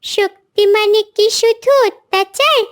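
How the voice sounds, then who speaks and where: Tiny, very high-voiced girl bird. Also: a bit bright. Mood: defiant. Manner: earnest, deliberate. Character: brave little orphaned girl bird, earnest and defiant. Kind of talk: cartoon character acting.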